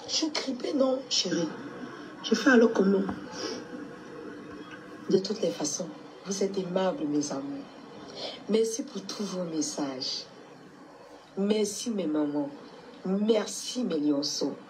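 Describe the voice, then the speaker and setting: A woman's voice: fairly low pitch, lively intonation, takes it slowly, pauses often, noisy background. A woman with a cold, coughing now and then, talking to her fans in a motherly, scolding way on a live stream. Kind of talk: casual live stream address.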